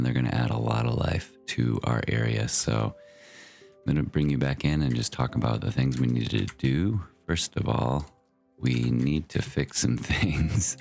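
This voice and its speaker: Super low gravelly guy chatting thru his minecraft build, faint music behind. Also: darkish tone. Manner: easygoing and chatty, with loose fillers. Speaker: Minecraft builder and channel host. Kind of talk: casual gameplay commentary.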